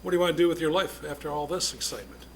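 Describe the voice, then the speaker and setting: A man with a somewhat high voice chairs a council meeting and chats with guests in a jokey, upbeat way. Faint background noise of the chamber sits under his voice. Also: racing. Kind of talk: friendly public interview at a council meeting.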